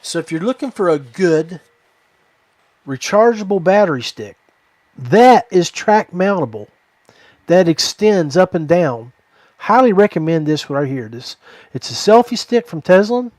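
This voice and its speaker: Higher-pitched man in casual podcast chat. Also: big pitch swings, a little slow, a little mellow, no echo. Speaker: podcast host.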